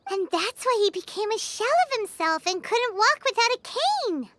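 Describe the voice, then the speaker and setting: A woman voicing a tiny, curious, childlike fairy companion in a video game, in a squeaky voice. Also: lively intonation, normal speed, a bit bright, a little hiss or hum. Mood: curious, concerned. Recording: music behind the voice.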